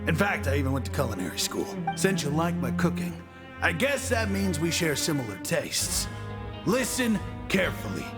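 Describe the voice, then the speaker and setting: A man's voice: fairly high pitch, big pitch swings, moderate pace. A man playing a gossipy, cynical prison cook who boasts and scorns in a conspiratorial, opinionated way in a video game. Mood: boastful, conspiratorial. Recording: game music behind the voice.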